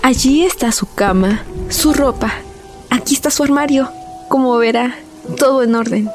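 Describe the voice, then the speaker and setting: A woman's voice: high-pitched, moderate pace, natural voice. A woman acting the part of an obsessive murderess who insists she is sane, speaking in a brooding, repetitive, dramatic way in a radio drama. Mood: cold scheming. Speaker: narrator and protagonist. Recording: voice over loud music.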